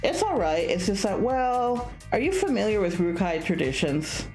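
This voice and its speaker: A woman's voice: mid-range voice, big pitch swings, normal speed, darkish tone, faint music behind, close to an everyday voice. A woman voicing an anxious young male student in a visual novel, hesitant, self-conscious and a little sulky. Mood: awkward, hesitant.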